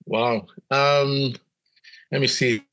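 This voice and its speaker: A middle-aged man with a Kenyan accent, telling personal stories in a reflective, measured, conversational way as an interview guest. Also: medium pitch, fairly even intonation, fairly bright tone. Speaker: podcast guest, missionary pastor.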